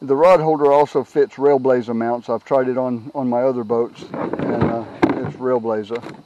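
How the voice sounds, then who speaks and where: A middle-aged man giving a casual, unscripted product walkthrough outdoors on a camera mic, halting and repeating words as he goes. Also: medium pitch, fairly even intonation, muffled recording, heavy background noise. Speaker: product reviewer, kayak owner. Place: outdoors on a grassy yard. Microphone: handheld camera's built-in microphone.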